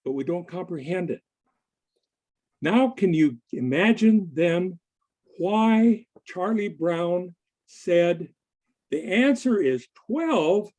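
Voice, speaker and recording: A man giving a conversational presentation to a group in a halting, deliberate manner. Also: high-pitched, warm tone. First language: English. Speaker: conference presenter. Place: online video meeting.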